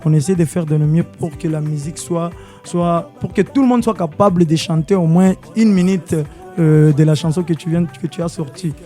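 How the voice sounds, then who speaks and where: A man's voice: fairly high pitch, moderate pace, dark tone, soft background music. A man from Congo, a musician guest in a radio studio interview, mixing in Swahili and hesitating with many fillers.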